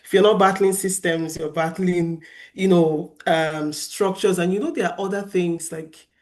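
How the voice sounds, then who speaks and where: A woman in her thirties from Nigeria with a Nigerian accent, a lively, chatty artist speaking on a festival panel. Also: on the low side, measured pace. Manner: lively, chatty, laughs easily. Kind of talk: panel discussion.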